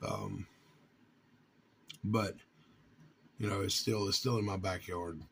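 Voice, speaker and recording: A man from North Carolina, talking informally to his viewers in a halting, earnest way with long pauses. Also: low voice, big pitch swings, gravelly, fairly bright tone, a little hiss or hum. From North Carolina, United States. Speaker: vlogger and amateur radio hobbyist.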